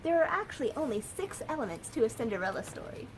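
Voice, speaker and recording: Lively American woman reading to children. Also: on the high side, fast, a little hiss or hum, low-quality recording. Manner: lively, sing-song storytelling. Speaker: children's librarian.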